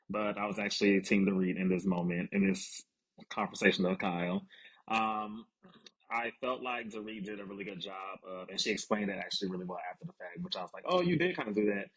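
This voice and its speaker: A man co-hosting a casual podcast chat, his voice slightly raspy, easygoing and quick to laugh. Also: lowish voice, sing-song, low-quality recording. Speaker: podcast co-host.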